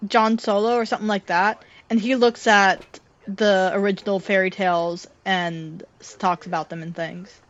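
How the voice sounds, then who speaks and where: A woman's voice: medium pitch, steady tone, moderate pace. Woman in dull, lo-fi game chat. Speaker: co-player on a game stream. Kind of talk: casual gaming chat. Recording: dull lo-fi voice chat, faint noise.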